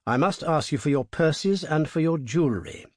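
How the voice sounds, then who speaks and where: A man's voice: fairly high pitch, animated, moderate pace, no echo, studio quality, voice pushed up. A man voicing a courteous but determined masked robber in an audiobook, polite and terse. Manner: polite, terse, quietly commanding. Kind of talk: audiobook character voice.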